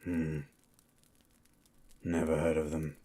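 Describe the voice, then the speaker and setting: A man playing a gruff, suspicious monster hunter in an ASMR roleplay, with a guarded, dry manner. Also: completely flat delivery, takes it slowly, mellow, full character voice. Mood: grave, suspicious. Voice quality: low, gravelly-husky.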